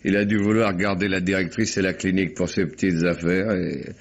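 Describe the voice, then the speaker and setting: A man playing a seasoned, shrewd police commissioner in a 1950s French radio drama, with measured, colloquial speech. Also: sits low, fairly even intonation. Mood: satisfied, explaining. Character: seasoned, methodical male police commissioner, polite but shrewd. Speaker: actor in a radio mystery. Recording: dull vintage radio recording.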